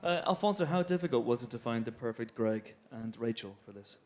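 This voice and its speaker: Medium-pitched man interviewing guests. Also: expressive, brisk, a little mellow. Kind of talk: conversational interview questions.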